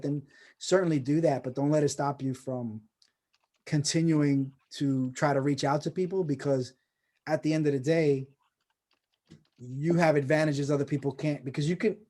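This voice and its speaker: Guy hosting a video call, kinda rambly and encouraging, mellow dark voice. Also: on the high side, fairly even intonation, brisk. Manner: rambling, encouraging, many restarts. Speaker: host of a personal branding course. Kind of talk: casual video call chat.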